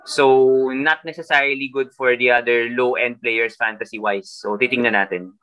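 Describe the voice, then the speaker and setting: A young man with a Filipino accent talks through his picks in loose, mixed-language chat. His voice is very bright and forward, at a middle pitch. It sounds like a video call. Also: steady tone, moderate pace, clean tone, muffled recording. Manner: switches between Tagalog and English, thinking aloud. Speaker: fantasy basketball podcast co-host. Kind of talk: casual chat.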